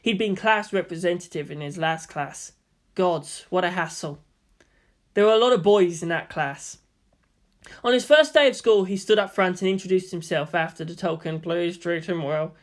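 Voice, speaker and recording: A woman's voice: lowish voice, normal speed. A woman reading scripted story narration as the narrator.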